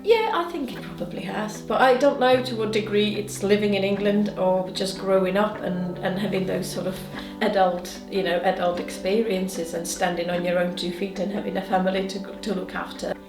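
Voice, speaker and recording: A woman with a Czech accent, telling lively stories about her early days abroad as an interviewee. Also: mid-range voice, moderate pace, hardly any pauses. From Czech Republic. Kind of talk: personal anecdote. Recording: roomy, distant mic, music behind.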